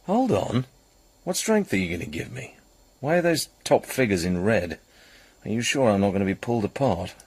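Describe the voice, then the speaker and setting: An actor playing a wry, suspicious male secret agent in a radio drama, speaking drily in a husky, gravelly voice. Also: lowish voice, big pitch swings, moderate pace, dry recording, exaggerated voice. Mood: wary, wry. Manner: dry, sardonic.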